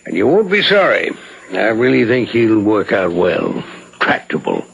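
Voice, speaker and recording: Cautious boss, gravelly, lively pitch swings, clipped phrases, old radio drama. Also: male voice, measured pace, highs cut off, full character voice. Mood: self-assured. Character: gravelly, self-assured boss, cautiously probing his subordinate. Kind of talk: 1970s radio drama acting. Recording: 1970s radio broadcast recording.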